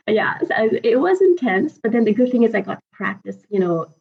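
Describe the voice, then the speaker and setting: A woman in her forties whose first language is Urdu, telling her story as an interview guest, lively and chatty with frequent laughs and fillers. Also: a little high, normal speed, gravelly. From Pakistan. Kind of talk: conversational interview. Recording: slightly dull lo-fi audio.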